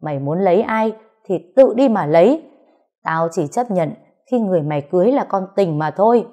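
Woman putting on a stern older father, proud, hot-tempered, harsh scolding, low warm tone. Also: lively intonation, moderate pace. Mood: furious shouting. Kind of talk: audio story dialogue.

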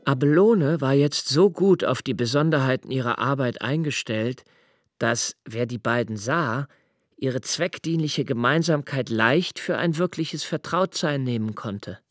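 An actor playing a melancholy, observant young man, narrating a literary radio drama in a measured, introspective way. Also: medium pitch, dry recording, studio quality, lightly acted. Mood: detached, observant. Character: melancholy, observant young man recalling his father's death.

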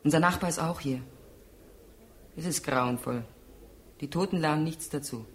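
A woman's voice: low voice, normal speed, close to an everyday voice. An actress in a German radio drama playing a dead woman in her early thirties, resigned and detached, speaking in repetitive, incantatory phrases. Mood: weary, detached.

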